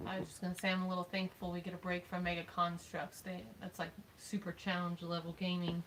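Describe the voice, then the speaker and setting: A woman's voice: fairly low pitch, completely flat delivery, fairly quick, a bit bright, slight background noise, poor audio. A woman co-hosting a casual home stream, making dry, offhand remarks. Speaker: co-host. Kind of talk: casual chat.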